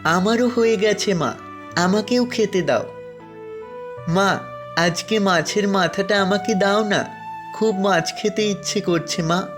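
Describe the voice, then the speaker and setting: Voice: mid-range voice, slow, pauses often, music in the background, natural voice. A voice actor playing a meek, hardworking foster son in a cartoon, speaking earnestly. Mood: pleading.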